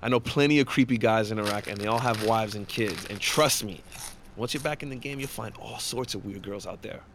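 An actor playing a brash, foul-mouthed war-veteran coworker, blunt, pushy and joking, in a naturalistic audio drama. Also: male voice, lowish voice, brisk, fairly bright tone, a little hiss or hum. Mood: pushy, teasing.

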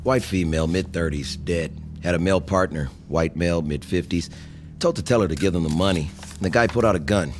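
A man's voice: deep voice, fairly quick, a little hiss or hum, natural voice. An actor playing a male police officer who briefs his partner in a clipped, matter-of-fact way. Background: music.

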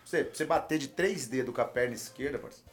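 A man with a Brazilian accent, a retired footballer, telling animated stories in a casual chat and repeating his phrases. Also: mid-range voice, quick pace, soft background music. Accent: Brazilian Portuguese.

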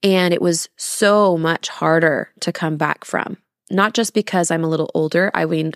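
Low, creaky-voiced woman podcaster. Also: no echo, studio quality. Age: 30s. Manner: emphatic, with rhetorical questions and drawn-out words. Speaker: podcast host. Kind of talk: casual, confiding monologue.

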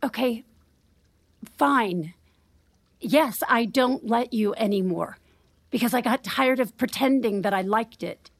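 A woman's voice: fairly high pitch, takes it slowly, long pauses, breathy edge, exaggerated voice. An actress playing a flustered, defensive woman in an audio drama, speaking hesitantly and apologetically. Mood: defensive, exasperated. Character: Diana, flustered, defensive unhappy working woman. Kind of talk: naturalistic audio drama acting.

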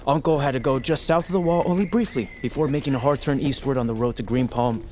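A man playing a gentle, self-effacing young nobleman in a fantasy audio drama, hesitant and politely formal. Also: mid-range voice, fast, close to an everyday voice. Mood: hesitant, uneasy.

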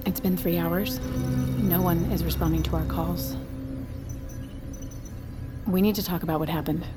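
A woman's voice: fairly low pitch, steady tone, moderate pace, over music, close to an everyday voice. An actress playing a blunt, incredulous woman who challenges the others in an audio fiction drama. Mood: firm. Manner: confrontational, exasperated.

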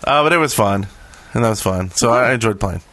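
A man with a very lively, swinging intonation co-hosts a casual podcast. He banters and teases, and the sound is close and dry with no room to it. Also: on the low side, fast, no room sound. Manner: joking, teasing. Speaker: podcast co-host.

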